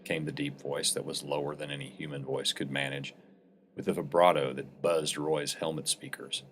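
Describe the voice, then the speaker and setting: A man's voice: extremely low pitch, little pitch movement, moderate pace, a bit bright, slight background noise. A man narrating a science fiction short story as a scripted, dramatic reading.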